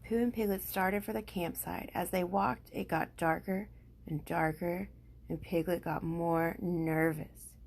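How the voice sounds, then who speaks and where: A woman's voice: lowish voice, fairly even intonation, measured pace, gravelly, a little mellow, slight background noise. A woman reading a children's story aloud expressively, as an amateur reader with the occasional stumble.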